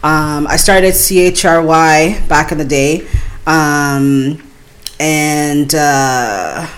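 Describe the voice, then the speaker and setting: Relaxed woman DJ, halting with fillers. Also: sits low. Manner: relaxed, hesitant, many fillers. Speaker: DJ guest. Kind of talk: casual interview answers.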